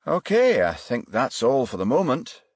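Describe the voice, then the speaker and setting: A composed male detective inspector, acted by an audiobook narrator. He is courteous and businesslike, with lively pitch movement in a close, dry recording. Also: dry recording. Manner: measured, businesslike.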